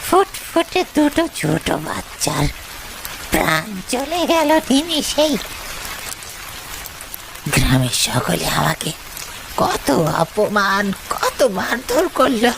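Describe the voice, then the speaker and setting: A vengeful cartoon ghost of a wronged village curd seller, played by a woman. The voice is gravelly and slow, swooping wildly as it lays out its accusations. Clear rain noise runs over it. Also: fairly high pitch, big pitch swings, heavily acted. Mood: bitter, aggrieved. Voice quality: creaky, gravelly. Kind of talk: Bengali horror cartoon acting.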